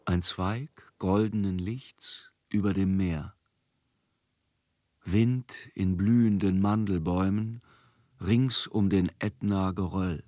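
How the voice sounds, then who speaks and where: An actor playing a contemplative man beholding visions, reciting in a measured, lyrical radio drama style. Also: low-pitched, fairly even intonation, warm tone, dry recording, clean studio sound.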